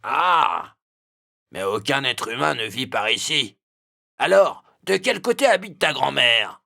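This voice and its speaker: A man's voice: medium pitch, sing-song, unhurried, creaky, sharp tone, exaggerated voice. A man voicing a villainous big wolf in a children's cartoon, theatrical and grumbling, with a coaxing, falsely reassuring manner. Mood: sly, helpful.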